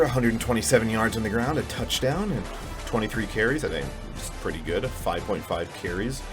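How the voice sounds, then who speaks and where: A man giving casual, mumbly, self-deprecating commentary while playing a video game. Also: fairly low pitch. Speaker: gaming channel host. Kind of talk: casual let's-play commentary.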